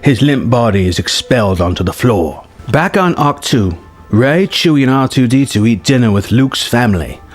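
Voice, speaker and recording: A man's voice: medium pitch, animated, normal speed. Man narrating a story over music. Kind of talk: scripted narration of a film story treatment. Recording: music behind the voice.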